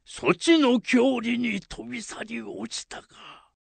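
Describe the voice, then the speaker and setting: Radio drama samurai lord: imperious, archaic, slow and creaky. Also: male voice, extremely high pitch, no room sound, exaggerated voice. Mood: grave. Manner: archaic lordly speech, emphatic. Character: commanding, proud old samurai lord slaying a monster.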